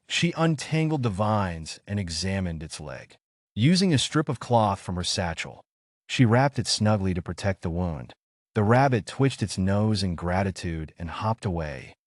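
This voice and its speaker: Guy narrating a bedtime fairy tale, lowish voice, really animated theatrical delivery, dry recording. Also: a little slow, dry recording. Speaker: storyteller. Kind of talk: scripted bedtime story narration.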